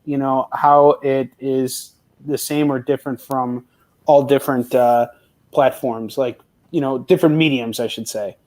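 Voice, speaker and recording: A man hosting an interview, affirming his guest and building long questions out loud. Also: medium pitch. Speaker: podcast host. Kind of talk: casual interview talk.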